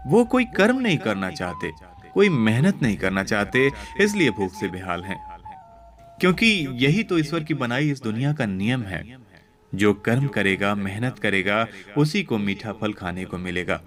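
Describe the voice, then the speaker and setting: A man voicing a benevolent god of death who speaks with calm authority in a moral tale. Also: fairly low pitch, measured pace. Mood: wise, instructive. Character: wise, benevolent male god of death guiding souls. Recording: background music under voice.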